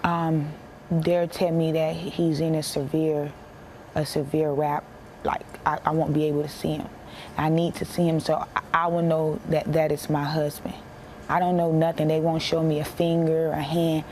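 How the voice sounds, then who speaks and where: A young American woman giving a personal account in an interview, plain-spoken and halting, repeating herself for emphasis. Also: low voice, level tone, a little mellow, slight background noise. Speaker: interviewee, bereaved military widow.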